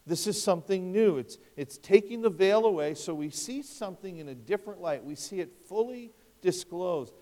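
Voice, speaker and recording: Fifty-something preacher in a reverberant hall. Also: male voice, high voice, animated, normal speed, few pauses. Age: 50s. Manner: conversational, rhetorical questions, wide swings in loudness. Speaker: pastor. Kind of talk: expository sermon.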